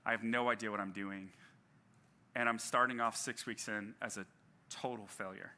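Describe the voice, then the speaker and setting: A man's voice: lowish voice, steady tone, moderate pace, pushed and bright. A male pastor giving a sermon in a conversational way, with frequent fillers and restarts and some self-deprecating humor.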